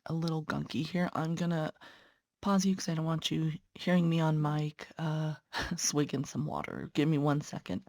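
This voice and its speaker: A woman's voice: low-pitched, level tone, normal speed, darkish tone, dry recording. A young woman, a patient care tech and nursing student, chatting earnestly to viewers while stitching, sounding congested. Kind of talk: casual chat while stitching.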